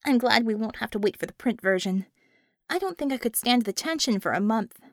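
A woman's voice: on the high side, lively intonation, a little fast, dry recording, clean studio sound. A woman voicing a confident, sly superheroine leader in an audiobook, with an assured, coaxing and emphatic delivery. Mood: frank.

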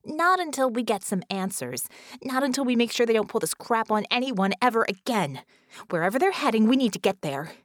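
A woman's voice: on the high side, sing-song, fairly quick, no room sound, clean studio sound. A woman voicing a fierce, foul-tempered female team leader, snapping and demanding, in an audiobook. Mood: furious.

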